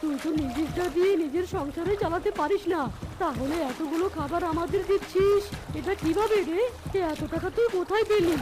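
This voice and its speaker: A woman's voice: extremely high pitch, fairly quick. A woman playing an envious, suspicious fox husband with pointed delivery in a children's cartoon. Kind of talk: dubbed children's cartoon dialogue. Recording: music behind the voice. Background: music.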